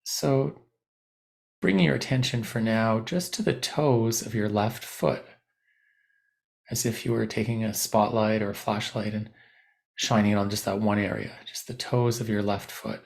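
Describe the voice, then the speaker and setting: A man guiding a body scan meditation in a gentle, unhurried, instructive way with long pauses. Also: lowish voice, gravelly. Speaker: meditation teacher.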